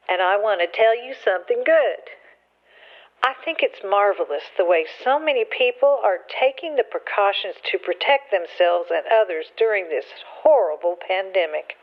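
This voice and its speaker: A woman playing a nice, earnest lady caller leaving a scripted voicemail through a telephone effect in a radio play. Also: mid-range voice. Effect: telephone voicemail filter. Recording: dull, lo-fi telephone voicemail sound.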